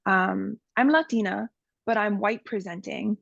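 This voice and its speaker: A woman's voice: medium pitch, measured pace, creaky, a bit bright, dry recording, lo-fi sound. A woman from Miami, a podcast guest, speaking earnestly and candidly with frequent fillers. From Miami, USA. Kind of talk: personal interview talk.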